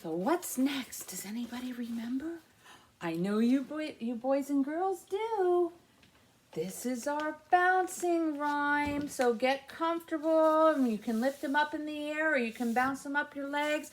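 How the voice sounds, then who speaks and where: A woman in her fifties leading a baby story time, speaking and chanting in an exaggerated sing-song way. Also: high voice. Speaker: library story time presenter. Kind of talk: children's story time with rhymes and songs. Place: small indoor room with alphabet backdrop. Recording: faint background noise.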